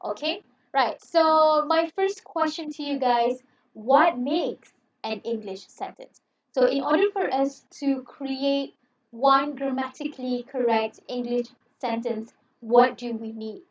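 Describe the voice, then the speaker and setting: A young Malay-native woman gives a chatty university English lecture with plenty of "um"s and reassurances. Her voice sits a little high. The sound is roomy and dull, as if she were set back from the mic. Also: slow pace, far from the mic. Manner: friendly, chatty, reassuring with many fillers. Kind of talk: recorded online lecture. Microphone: headset.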